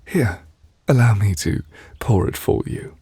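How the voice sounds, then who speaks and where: A man acts out a soft-spoken male witch, deeply in love and quietly possessive. His voice is hushed and slow, with a gravelly fry at its edges. He speaks with gentle, formal refinement, very close and dry, as in a romantic ASMR audio roleplay. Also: animated, no room sound, exaggerated voice. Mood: fond, wistful. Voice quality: breathy, hushed. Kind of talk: ASMR romantic roleplay, intimate character acting.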